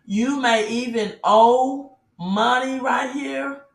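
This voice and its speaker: A woman giving an off-the-cuff motivational sales pitch as a business promoter, emphatic, repeating key phrases and asking rhetorical questions. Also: takes it slowly, gravelly, roomy sound. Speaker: business promoter and team leader giving an online presentation.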